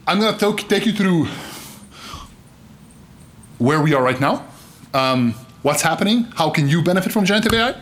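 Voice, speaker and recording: Animated man giving a keynote. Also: on the high side. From Serbia. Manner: animated, joking, gestures broadly. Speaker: keynote speaker. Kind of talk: conference keynote talk. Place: conference stage, indoors.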